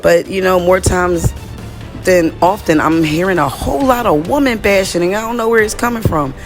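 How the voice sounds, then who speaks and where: A woman from the United States with a raspy, slightly hoarse voice, talking straight to her viewers in a casual diary-style monologue. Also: fairly low pitch, normal speed, faint music behind. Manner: chatty, direct, addresses viewers as y'all. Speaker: vlogger.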